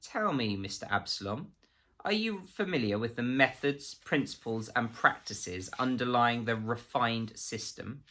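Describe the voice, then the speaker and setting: Man acting a coldly polite, bright-voiced official interrogator. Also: mid-range voice, lively intonation, unhurried. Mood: probing. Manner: light, conversational, precise, formal questioning.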